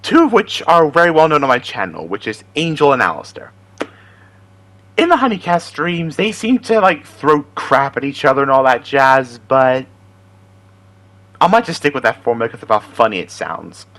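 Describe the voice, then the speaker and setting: A man's voice: medium pitch, sing-song, moderate pace, fairly bright tone. A man hosting as an amateur voice impressionist, chatting casually to viewers in a rambling, self-deprecating way. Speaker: amateur voice impressionist and video host.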